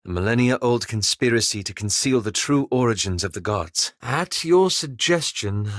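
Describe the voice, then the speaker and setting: An actor playing a reserved, bookish adventurer in a video game, speaking formally and politely with cautious, apologetic hesitance. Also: male voice, mid-range voice, fairly bright tone, no echo, studio quality. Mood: reflective, earnest. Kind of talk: video game character dialogue.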